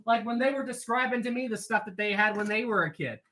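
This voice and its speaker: A man in his thirties streaming live, chatting casually with viewers and reading their comments, playful and teasing, laughing often and clearing his throat now and then. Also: high-pitched, quick pace, pushed and bright. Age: 30s.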